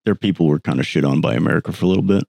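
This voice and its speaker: A young man co-hosting a casual podcast, agreeable and full of quick affirmations. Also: very deep voice, gravelly, warm tone, dry recording, clean studio sound. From New England. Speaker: podcast co-host.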